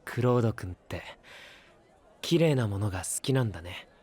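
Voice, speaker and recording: An actor playing a calm, polite young guide in an anime voice drama, explaining things in a measured, earnest way. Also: male voice, on the low side, breathy edge. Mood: gentle, reassuring. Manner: measured, explanatory, like reading a manual. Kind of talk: Japanese anime voice drama acting.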